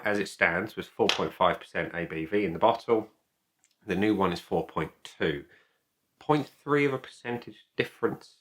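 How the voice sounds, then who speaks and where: A man's voice: deep voice, bright, forceful tone. A man talking casually to camera as a beer reviewer, chatty, opinionated and emphatic. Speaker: beer reviewer and video host.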